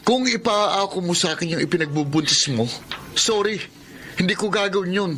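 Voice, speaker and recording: A man's voice: high-pitched, moderate pace, sharp tone, a little hiss or hum. A man playing a callous, dismissive young ex-boyfriend in a Filipino radio drama, with a Filipino accent. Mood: cold scorn.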